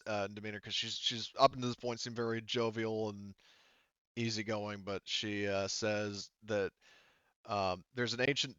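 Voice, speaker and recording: A man narrating casually and haltingly as game master of a tabletop roleplaying game, in an informal, improvised way. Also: lowish voice, a bit bright, clean tone, dry recording, muffled recording.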